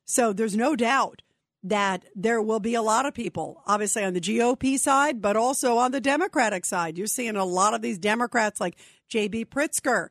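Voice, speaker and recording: Woman, radio talk host, opinionated and chatty, fairly high voice, dry close studio sound. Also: normal speed, dry recording. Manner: opinionated, conversational, addresses listeners directly.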